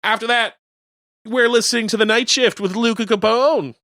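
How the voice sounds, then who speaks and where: A man's voice: very high voice, fairly even intonation, normal speed, bright, forceful tone, no echo, studio quality. A man co-hosting community radio, chatting casually and reading out show listings. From Canada.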